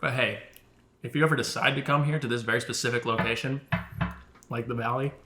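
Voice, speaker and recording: Young male co-host, medium pitch, dry easygoing humor, faint hiss behind him. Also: fairly even intonation, fairly quick. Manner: easygoing, wry, casual swearing. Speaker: podcast co-host. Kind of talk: casual chat over lunch. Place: home kitchen, indoors.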